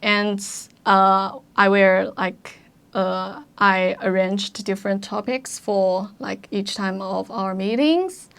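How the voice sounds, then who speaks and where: A woman's voice: medium pitch, fairly even intonation, slow, vocal fry, fairly bright tone, clean tone. A young woman with a Chinese accent, whose first language is Chinese, chatting as a podcast guest and restarting her sentences often. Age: young adult. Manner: hesitant, many restarts and fillers, laughs often. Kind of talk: casual interview chat.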